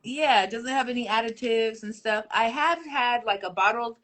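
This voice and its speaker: A woman's voice: a little high, moderate pace. A woman hosting a casual live interview, telling stories with lots of laughter, on a video call. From Nigeria. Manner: laughs often, animated storytelling. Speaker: host. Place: United States.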